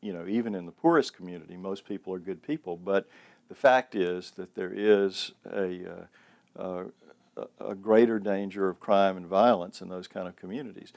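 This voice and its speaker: A man giving unscripted interview remarks in a halting way, searching for his words. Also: deep voice, creaky, a little mellow. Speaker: documentary interviewee.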